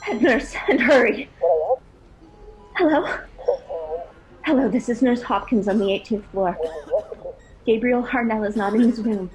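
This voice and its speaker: A woman's voice: fairly high pitch, measured pace, pauses often, a crowd in the background, close to an everyday voice, poor audio. A woman playing a dutiful hospital nurse in a radio drama, urgent and businesslike. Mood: alarmed.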